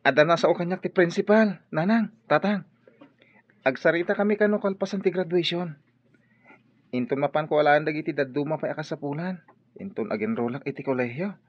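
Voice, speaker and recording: A man's voice: on the high side, brisk, dull audio, close to an everyday voice. A man playing an earnest young graduating student in a radio drama.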